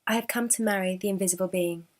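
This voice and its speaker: A woman's voice: mid-range voice, steady tone, quick pace, a little mellow, natural voice. Woman voicing young folktale heroine, kind, brave, sincere, assured, full of wonder. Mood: sure. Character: faithful, courageous, kind-hearted scarred young girl.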